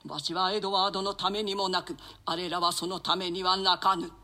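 Man playing a sharp-voiced, high, lamenting old noblewoman. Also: flat delivery, fairly quick, heavily acted. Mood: grieving, wailing. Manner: archaic, wailing, declamatory. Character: grieving elderly royal mother, proud and lamenting. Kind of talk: theatrical classical Japanese play reading.

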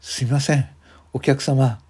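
A man's voice: mid-range voice, steady tone, measured pace, mellow, slightly rough. A man voicing a timid, polite hotel parking attendant who speaks hesitantly and apologetically. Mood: apologetic.

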